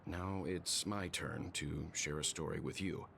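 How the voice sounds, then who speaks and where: An actor voicing a grave, measured man in a game who tells a solemn story. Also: sits low, steady tone, vocal fry, a bit bright, heavily acted. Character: solemn man telling a homeland parable. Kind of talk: game voice acting. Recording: game audio with music underneath.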